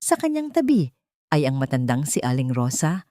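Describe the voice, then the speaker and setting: A woman's voice: a bass voice, very animated, normal speed, dark tone, dry recording, clean studio sound. A woman with a Filipino accent narrating a dramatic scripted story.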